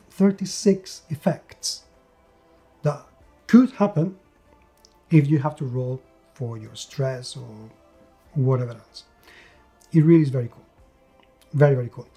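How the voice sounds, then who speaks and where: A man's voice: fairly high pitch, dark tone. A man giving an enthusiastic, unscripted game review, emphatic and repeating words for stress.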